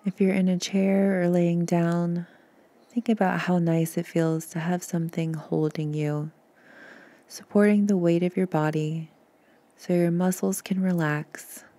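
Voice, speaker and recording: Slow, low-voiced woman guiding a meditation. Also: level tone. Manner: soothing, gentle, unhurried with long pauses. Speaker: podcast host and meditation guide. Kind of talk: guided meditation narration.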